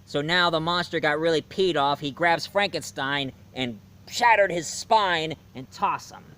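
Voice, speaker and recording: A man reviewing a film in a casual, animated way, retelling the plot and acting out scenes as he goes. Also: on the high side, unhurried, clean tone. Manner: animated storyteller, acts out scenes.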